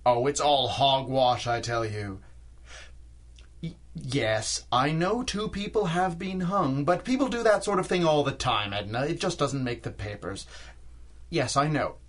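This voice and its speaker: Flustered middle-aged husband, exasperated, animated intonation, slow deliberate pacing, vintage radio drama. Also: male voice. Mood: exasperated reassurance. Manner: exasperated, blustering, highly expressive. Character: nervous, blustering husband dismissing talk of ghosts. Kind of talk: 1930s-style radio drama acting.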